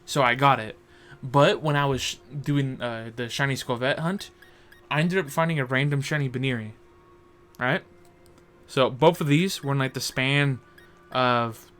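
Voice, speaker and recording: A young man in his twenties at a mid pitch, talking loosely and crudely in a rambling podcast chat. He stops and corrects himself again and again, with some faint music in the background. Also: fairly bright tone. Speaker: podcast co-host. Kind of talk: casual chat.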